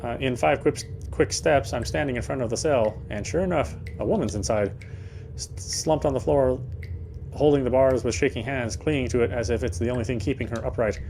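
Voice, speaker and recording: A man's voice: medium pitch, a little fast, a little mellow, slight background noise. A man reading first-person game narration aloud in a dramatic storytelling style, now and then stumbling over words.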